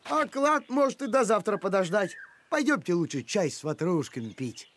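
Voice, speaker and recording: An actor voicing a simple, good-natured, excitable talking dog in a children's cartoon, naive and agreeable. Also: mid-range voice, moderate pace. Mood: mild. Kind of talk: animated children's cartoon acting.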